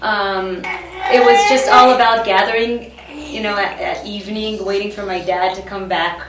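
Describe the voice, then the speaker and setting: A woman in her thirties, from Israel, talking in a casual interview, halting, with many fillers and restarts. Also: mid-range voice, fairly even intonation, very noisy. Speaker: guest. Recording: echoey room, far from the mic.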